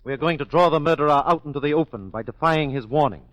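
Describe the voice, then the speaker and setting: An actor playing a confident, dismissive police inspector, formal and clipped, in 1940s radio style. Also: male voice, a little high, fast talker, fairly bright tone, no echo, close to an everyday voice, low-quality recording. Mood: firm, condescending.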